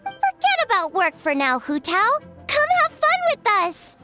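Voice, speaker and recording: A sweet young girl from a video game, very high and girlish. The delivery is lively, earnest and caring, like a supportive friend's. Faint music sits behind the voice. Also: measured pace, heavily acted. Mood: warm, reassuring. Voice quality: youthful, girlish. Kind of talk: English video game dub acting.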